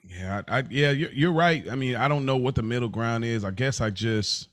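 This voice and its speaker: Mid-pitched man chatting on a dry podcast recording. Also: normal speed, fairly bright tone, no echo. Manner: conciliatory, slangy, concedes before disagreeing. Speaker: podcast co-host. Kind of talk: casual chat, podcast discussion.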